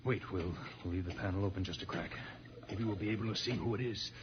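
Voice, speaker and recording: An actor playing a resolute, reassuring space-adventure hero, decisive and commanding, in old-time radio serial style. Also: male voice, low-pitched, quick pace, natural voice. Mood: cautious, resolute. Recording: muffled, noisy vintage radio recording.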